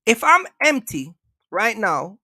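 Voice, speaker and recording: Male livestream host, emphatic and repetitive, bright voice, dry close sound. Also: high-pitched, sing-song, slow, dry recording. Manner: emphatic, repetitive, keeps asking viewers for likes. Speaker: trucking channel host. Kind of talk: casual livestream rant.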